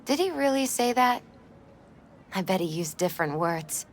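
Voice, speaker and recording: A woman playing a melancholy girlfriend in her twenties, grieving in a video game scene with quiet, reflective, halting phrases. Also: medium pitch, expressive, slight background noise, natural voice. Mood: tender, nostalgic. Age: 20s. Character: wistful twenty-six-year-old grieving girlfriend, soft-hearted, melancholy. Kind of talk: cinematic video game acting.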